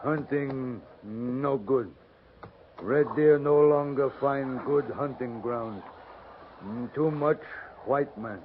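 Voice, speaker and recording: Man playing a slow, grave chief in halting English. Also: mid-range voice, close to an everyday voice. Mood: solemn, aggrieved. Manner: halting, broken stage English, solemn. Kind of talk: 1950s radio acting. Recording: muffled, noisy 1950s radio transcription.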